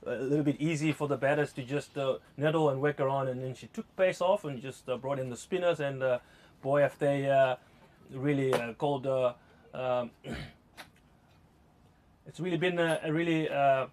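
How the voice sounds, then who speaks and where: A man from Zimbabwe with a Zimbabwean accent doing live cricket commentary, talking in a hesitant way with frequent pauses and fillers. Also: medium pitch, a little mellow, slight background noise. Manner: hesitant, many uh fillers, laughs easily.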